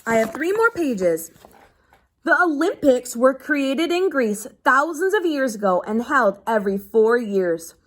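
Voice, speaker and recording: A woman reading a children's book aloud like a teacher, pausing to explain things simply as if to young pupils. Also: sits high, very animated. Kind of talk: read-aloud lesson with simple explanatory asides.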